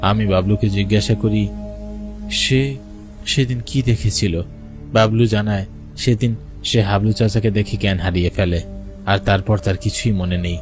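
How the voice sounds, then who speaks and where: A man's voice: lowish voice, fairly even intonation, normal speed, pauses often. A man narrating a first-person ghost story for a cartoon in a dramatic way. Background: music under the voice.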